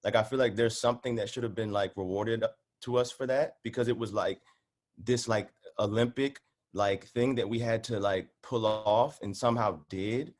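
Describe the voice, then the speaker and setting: Man, lowish voice, casual and rambling, emphatic, lots of "like", on a video call. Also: steady tone, normal speed. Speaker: cast member on a reunion panel. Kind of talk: casual chat.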